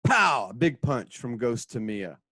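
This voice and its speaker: A man's voice: medium pitch, sing-song, measured pace, fairly bright tone, dry recording, clean studio sound. A man narrating a radio drama as its director, reading out stage directions and voicing sound effects.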